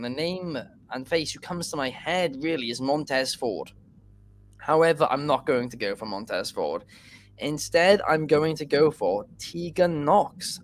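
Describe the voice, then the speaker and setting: A man's voice: on the high side, slight background noise. A man from Wales, hosting a casual podcast and giving his opinions after reading out viewer questions. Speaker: podcast host.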